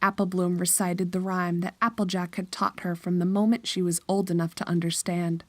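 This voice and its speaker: A woman's voice: lowish voice, little pitch movement, moderate pace, a little mellow, no room sound. A woman narrator reading a scripted horror story.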